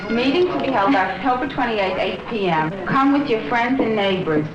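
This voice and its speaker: A woman's voice: a little high, lively intonation, moderate pace, lo-fi sound, a loud crowd. Woman reading a notice, echoey and a bit far off, old noisy film sound. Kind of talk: read announcement.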